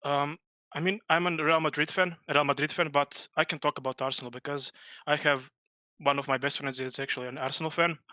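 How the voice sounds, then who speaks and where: A man with a Croatian accent calls in, speaking bluntly with heavy sighs. His voice is bright and forward, recorded dry. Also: on the high side, fairly even intonation, brisk, dry recording. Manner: blunt, sighing. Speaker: caller. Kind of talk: casual chat.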